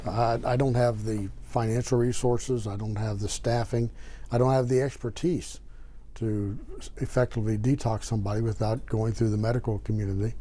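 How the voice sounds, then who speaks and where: Very dark, low-voiced man in interview. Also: fairly even intonation, normal speed. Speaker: county sheriff, interviewee. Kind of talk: unscripted interview answers.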